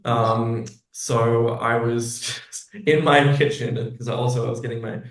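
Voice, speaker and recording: A man chatting casually as an interview guest, halting and often restarting his thoughts mid-sentence. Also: mid-range voice, fairly even intonation. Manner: halting, self-interrupting, rambling. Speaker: guest, musical theatre writer. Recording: echoey room.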